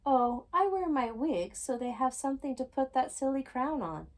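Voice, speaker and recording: A woman voicing a wise, amused old queen bee in a storybook, gentle and unhurried. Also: sits high, expressive, voice pushed up.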